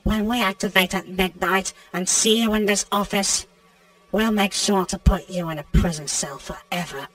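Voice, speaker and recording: A woman voicing a stern, betrayed animatronic girl, scolding deliberately in game voice lines. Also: mid-range voice, fairly bright tone, dry recording, recorded from a distance, close to an everyday voice. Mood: cold anger. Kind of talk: video game character voice lines.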